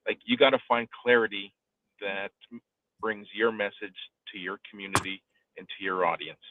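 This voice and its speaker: A man's voice: on the low side, steady tone, normal speed, slight background noise. A man giving conversational coaching advice as a host, speaking emphatically and repeating phrases for weight. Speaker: live streaming coach hosting an audio discussion. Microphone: live online audio room connection. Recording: tinny phone-like online call audio.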